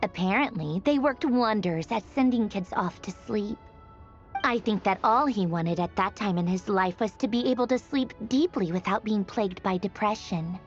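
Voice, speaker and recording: A woman's voice: mid-range voice, moderate pace, dull audio, natural voice. A woman voicing a wry, aloof female storyteller in a video game, musing theatrically with a faintly mocking detachment. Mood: somber, reflective. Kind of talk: scripted dramatic video game narration. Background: background music.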